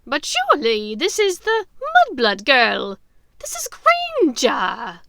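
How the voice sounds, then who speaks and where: A woman voicing a maniacal, imperious dark witch in an audiobook, theatrical, contemptuous and explosive. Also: high-pitched, huge pitch swings, slow, vocal fry, dry recording, exaggerated voice. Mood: gloating excitement. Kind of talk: dramatic audiobook character voice.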